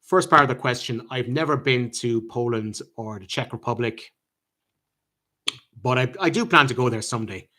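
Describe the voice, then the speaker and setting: An Irish man hosting a live-stream chat, answering viewers' questions in a halting way, with many ums and restarts. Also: mid-range voice, bright, forceful tone. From Ireland.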